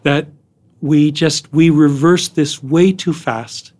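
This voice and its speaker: A middle-aged man giving emphatic, measured analysis as a guest on a podcast, often repeating phrases. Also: fairly high pitch, fairly even intonation, darkish tone. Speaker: foreign policy guest. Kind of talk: interview analysis.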